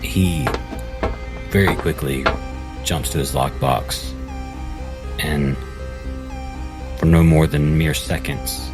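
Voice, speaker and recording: A man playing a tabletop role-playing game, deliberately narrating what his character does, phrase by phrase. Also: very deep voice, fairly even intonation, music in the background.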